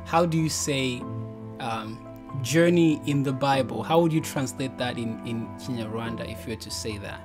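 A man's voice: mid-range voice, normal speed, music in the background. A young man hosting a Bible podcast over a video call on a headset, upbeat and encouraging. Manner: upbeat, encouraging, laughs often. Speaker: podcast host.

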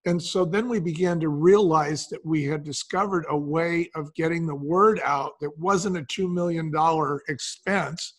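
A man's voice: a little high, moderate pace, hardly any pauses. A man telling anecdotes conversationally as an interview guest, acting out the dialogue as he goes. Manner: acts out quoted dialogue. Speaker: interview guest, wine company co-founder.

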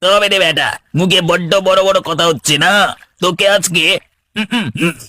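A man voicing a hot-headed, cowardly village henchman in a cartoon, with an excitable manner. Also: high voice, moderate pace, no room sound, clean studio sound. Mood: menacing. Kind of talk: Bengali cartoon voice acting.

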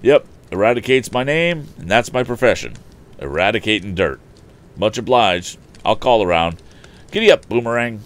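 A man's voice: on the low side, lively intonation, normal speed, voice pushed up, natural voice. A man voicing a talkative, folksy odd-job man from an old storybook, speaking emphatically. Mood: cheerful.

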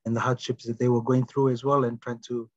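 A man in his forties with a Fijian accent, talking casually and haltingly as he reflects on his work as a poet in an interview. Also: mid-range voice, flat delivery, darkish tone. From Fiji. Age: 40s. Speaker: guest, poet. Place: small room indoors, on a video call. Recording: dull, roomy video-call audio.

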